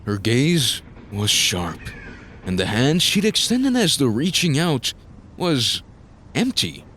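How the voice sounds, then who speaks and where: A man's voice: mid-range voice, big pitch swings, slow pace, fairly bright tone, husky. A man narrating a scripted story in a dramatic style, as the narrator of an audio drama.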